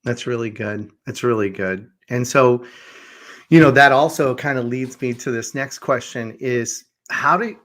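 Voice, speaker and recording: A man's voice: mid-range voice, measured pace, fairly bright tone. A man hosting a conversational interview, affirming his guest and repeating phrases as he works toward his questions. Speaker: podcast host.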